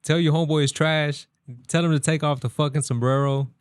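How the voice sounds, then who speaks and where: A man's voice: fairly high pitch, steady tone, fairly quick, clear, resonant tone, no echo, studio quality. A man appearing as a guest rapper in a casual chat, speaking in a laid-back, frank way.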